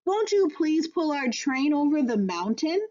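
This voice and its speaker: Lady doing little dolls and toys begging, high swoopy voice, kids storybook. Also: big pitch swings, measured pace, a little mellow, highs cut off. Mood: pleading. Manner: crying, pleading.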